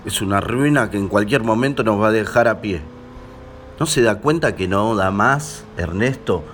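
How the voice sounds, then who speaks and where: An actor playing an arrogant young Argentine stage performer in a radio drama, exasperated and scornful. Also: male voice, lowish voice, moderate pace, fairly bright tone, a little hiss or hum, natural voice. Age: young adult. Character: arrogant, irritable young male actor in touring troupe. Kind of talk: radio theatre acting.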